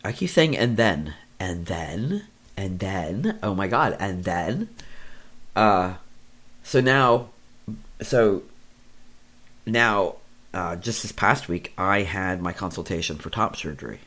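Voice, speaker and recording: A man's voice: lively intonation, takes it slowly, long pauses, a bit bright. A middle-aged man with a scratchy voice giving a rambling, casual personal update from his office, often repeating himself. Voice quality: scratchy, deeper voice. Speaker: podcast host. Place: indoors in an office.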